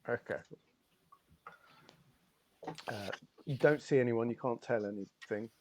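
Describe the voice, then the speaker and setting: A man narrating as game master in a tabletop game, gentle and hesitant. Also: medium pitch, fairly quick, slight background noise.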